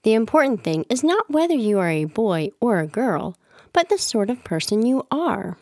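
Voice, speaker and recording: Woman playing a lively, sensible storybook mama bear. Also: a little high, normal speed, no echo, clean studio sound. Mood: reasoning, firm. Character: gentle, fretful, sensible mother bear. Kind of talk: storybook read-aloud character voice.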